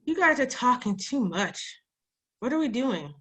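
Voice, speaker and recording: Woman acting small young female sidekick, slightly high voice, feisty, defiant, armed and cocky, screenplay drama. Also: big pitch swings, measured pace, fairly bright tone, breathy edge, heavily acted. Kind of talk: screenplay table read, dramatic dialogue.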